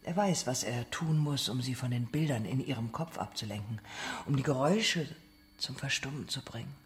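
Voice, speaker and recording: An actor playing a relentless, accusing interrogator in a German radio drama, speaking in short pointed phrases. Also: male voice, medium pitch, moderate pace, natural voice. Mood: probing, controlled.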